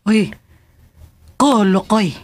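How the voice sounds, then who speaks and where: A woman's voice: mid-range voice, extremely slow. A Filipino-accented woman in a radio drama playing a scolding, exasperated but good-humored aunt. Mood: scolding, bantering.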